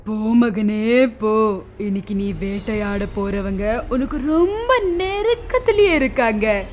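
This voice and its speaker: Man voicing a wicked sorceress mother, high strained voice, slow chanting commands, cartoon horror tale. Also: expressive, a little hiss or hum. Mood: menacing. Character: sinister sorceress mother commanding her demon son. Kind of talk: animated horror story narration.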